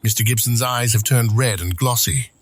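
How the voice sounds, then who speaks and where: A man's voice: medium pitch, moderate pace, no room sound. A man narrating scripted stage action as the narrator of an audio drama.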